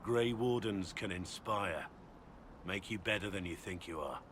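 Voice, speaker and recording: An actor playing a gruff, battle-worn warrior and recruiter in a video game, blunt and commanding in manner. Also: male voice, low-pitched, a little slow, a bit bright, a little hiss or hum. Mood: grave, explaining. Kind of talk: dramatic video game acting.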